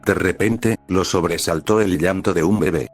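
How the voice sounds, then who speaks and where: A man with a very low voice narrates a scripted story in audiobook style. He reads as the narrator of a piece of fan fiction. Soft music plays underneath his voice. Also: moderate pace. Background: background music.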